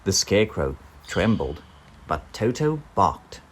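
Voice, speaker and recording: A man narrates a children's storybook at an unhurried pace, and his voice rises and falls in a lively, dramatic way. His tone has a husky, slightly breathy edge. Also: low-pitched. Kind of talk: children's storybook read-aloud.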